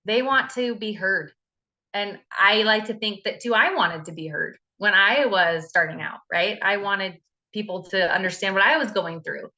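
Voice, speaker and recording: A woman's voice: medium pitch, moderate pace, bright, forceful tone, dull audio. A woman team leader giving an earnest, encouraging talk to her team on a call, with frequent fillers. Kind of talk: motivational talk on a video call.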